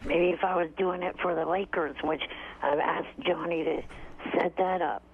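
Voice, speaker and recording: A man calling a radio show by phone, talking in a halting, defensive and irritable way. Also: a little high, steady tone, creaky. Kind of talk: casual, argumentative radio call. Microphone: telephone. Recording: tinny phone line, faint noise.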